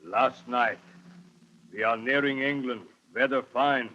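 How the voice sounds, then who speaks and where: A grim male sea captain gives terse log entries at a slow, weary pace. It is vintage radio drama, muffled and clearly noisy. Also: medium pitch, sharp tone. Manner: terse, clipped log-entry phrasing. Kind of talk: 1930s radio drama acting. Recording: noisy, dull 1930s radio recording.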